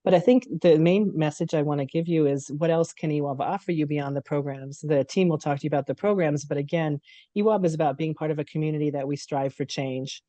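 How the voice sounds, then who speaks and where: A woman with a cold leads a webinar welcome from her apartment over a video call. Her voice sits mellow and dark, and the sound is close and dry. She stays chatty and speaks straight to her listeners. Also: low-pitched, fast, dry recording. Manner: conversational, speaks straight to the audience. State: has a cold. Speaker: CEO of a nonprofit.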